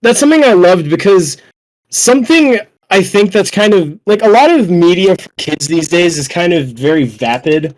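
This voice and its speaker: A man's voice: sits high, lively intonation, normal speed, a little mellow, rough recording. A young adult man hosting a casual podcast, rambling along in loose, profane talk. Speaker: podcast host.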